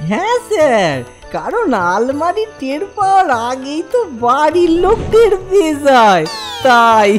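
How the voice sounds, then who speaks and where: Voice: high voice, big pitch swings, a little slow, soft background music. An actor voicing a cheeky, servile village thief in a comic cartoon, wheedling and rustic. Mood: boastful. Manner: obsequious, rustic wheedling, keeps saying sir. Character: cheeky village thief, servile, earnestly arguing simpleton man.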